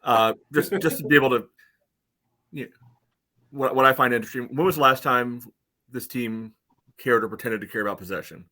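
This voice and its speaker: A man co-hosting a soccer fan podcast, talking casually with frequent fillers. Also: mid-range voice. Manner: quick, bright, lots of fillers. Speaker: podcast co-host. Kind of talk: casual chat.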